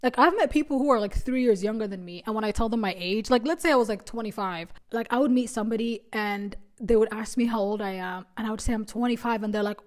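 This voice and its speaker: A woman in her late twenties hosting a solo podcast, talking in a chatty, animated, self-mocking way. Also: on the high side, fast talker, few pauses. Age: 20s. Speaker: podcast host. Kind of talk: casual solo podcast chat.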